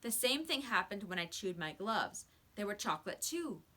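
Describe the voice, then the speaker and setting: A woman's voice: medium pitch, sing-song, moderate pace, sharp tone. A woman voicing an anxious, pleading young schoolboy in a children's storybook, with a plaintive, protesting manner. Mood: earnest explaining. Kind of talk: children's storybook read-aloud.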